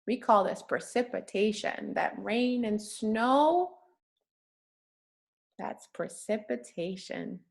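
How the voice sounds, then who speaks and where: A woman's voice: medium pitch, lively intonation, slow pace, gravelly. A woman reading aloud to young children like a teacher, using simple, patient, encouraging language and asking questions as she goes. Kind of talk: interactive children's read-aloud with questions and praise.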